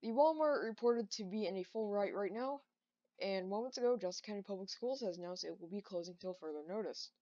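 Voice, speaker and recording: A woman playing a local TV news reporter covering a disaster in an informal, halting mock live report, on a dull recording. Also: mid-range voice, dark tone, slight background noise, close to an everyday voice. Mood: urgent reporting.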